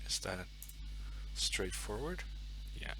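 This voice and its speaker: A man's voice: fairly low pitch, very animated, takes it slowly, noisy background. A young man with a Norwegian accent chatting casually on a live stream, thinking aloud and muttering to himself as he sets up. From Norway. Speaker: chess streamer.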